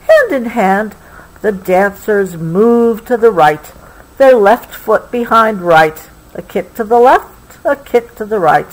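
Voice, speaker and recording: A woman reading a picture book aloud to a child, patiently pausing to explain things along the way. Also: medium pitch, low-quality recording. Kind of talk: expressive storybook read-aloud with explanatory asides.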